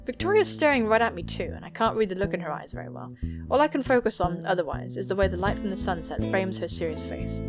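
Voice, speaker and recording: A woman's voice: mid-range voice, lively intonation, fast talker, over music, natural voice. A woman voicing an earnest, nervous student girl who narrates her thoughts in a visual novel game.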